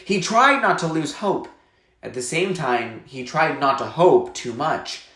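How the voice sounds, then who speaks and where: A man's voice: on the high side, lively intonation, measured pace, sharp tone. A man narrating a story in a scripted read-aloud style. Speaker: reader reading a novel aloud. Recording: echoey room.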